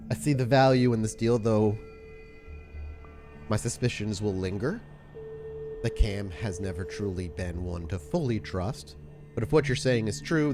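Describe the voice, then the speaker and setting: Guy playing a shrewd vampire boss lady, low voice, blunt and wary, bit of music under it. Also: a little mellow, lightly acted. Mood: wary, bargaining. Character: shrewd, suspicious, blunt female Anarch vampire leader.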